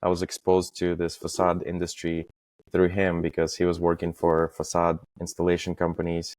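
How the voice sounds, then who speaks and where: Low-voiced man, very even in pitch. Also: moderate pace, no echo. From Estonia. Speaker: podcast guest, facade industry professional. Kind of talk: casual interview, recounting career.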